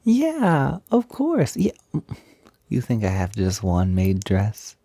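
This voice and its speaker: Soft, slow-talking man in playful intimate roleplay. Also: on the low side, huge pitch swings, creaky, no echo, studio quality. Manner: playful, coaxing, hesitant and chatty. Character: playful, eager, cute-loving feminine male roommate. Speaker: audio roleplay performer. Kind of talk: intimate improvised-sounding audio roleplay, one-sided conversation.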